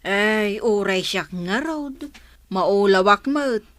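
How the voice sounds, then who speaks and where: A woman's voice: mid-range voice, lively intonation, takes it slowly, fairly bright tone, dry recording. A woman playing a grumbling, wary village vendor in a radio comedy drama, with an Ilocano accent. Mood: weary grumbling.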